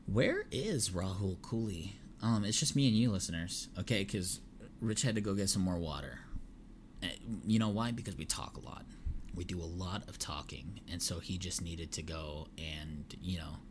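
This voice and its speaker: Low-voiced man rambling on a podcast. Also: a little hiss or hum. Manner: rambling retelling with many fillers. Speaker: podcast co-host. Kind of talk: casual chat.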